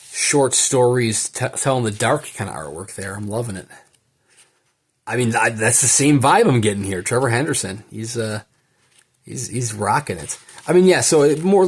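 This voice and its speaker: A man's voice: fairly low pitch, breathy edge. A man giving a casual unboxing commentary, enthusiastic and rambling as he thinks aloud. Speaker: book collector presenting an unboxing.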